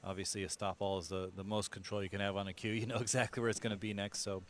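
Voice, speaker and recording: A man's voice: on the low side, little pitch movement, fast, slight background noise. A man commentating a pool match live, explaining shot choices and position play to viewers.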